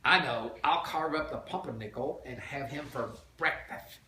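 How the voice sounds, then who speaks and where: A man's voice: mid-range voice, moderate pace, sharp tone, natural voice. Man putting on a pompous lisping duke villain. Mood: blustering, gloating. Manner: theatrical, blustering. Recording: echoey noisy home recording.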